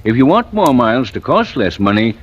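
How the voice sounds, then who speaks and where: Good-humored ad-skit sidekick, lively pitch swings, hesitant comic timing, muffled vintage radio. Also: male voice, brisk, slightly rough. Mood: amused, comic. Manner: hesitant, sing-song when reciting, comic. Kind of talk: 1940s-50s radio ad skit acting.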